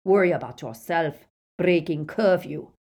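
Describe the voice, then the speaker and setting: A woman voicing a stern male dark-wizard henchman in an audiobook, loud, accusing and threatening. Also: fairly low pitch, a little slow, husky.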